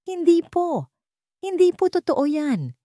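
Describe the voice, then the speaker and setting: A humble young woman in a spoken melodrama pleads with desperate politeness, as a woman narrator plays her. The pitch climbs high above a storytelling tone. The recording is close and dry. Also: very animated, takes it slowly, warm tone, no echo, heavily acted.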